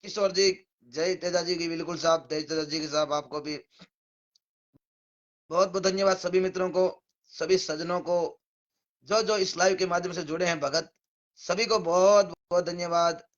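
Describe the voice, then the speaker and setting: A man with a Rajasthani accent and a hoarse, somewhat high voice greets his live-stream viewers one after another, thanking each by name in a relaxed way. The sound is dull and lo-fi, as if streamed from a phone. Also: moderate pace, long pauses. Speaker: devotional singer hosting a live stream.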